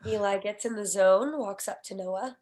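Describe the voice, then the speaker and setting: A woman's voice: mid-range voice, moderate pace, darkish tone. A woman reading out the stage directions of a comedy screenplay as scripted narration.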